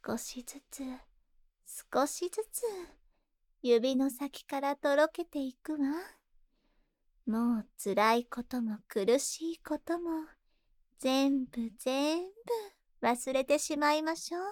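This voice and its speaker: A woman's voice: high voice, slow, pauses often, no echo, clean studio sound. A woman playing a seductive, possessive spider monster in a Japanese ASMR voice drama, tender and coaxing, lingering on her words. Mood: soothing, hypnotic. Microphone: close ASMR microphone in a dry studio.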